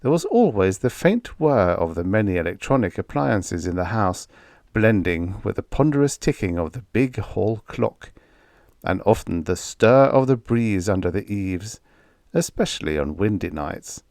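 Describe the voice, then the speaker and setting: A man reading a vintage science fiction story aloud as an audiobook narrator, in a measured storytelling delivery. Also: on the low side, big pitch swings, vocal fry, a little mellow, no room sound, studio quality.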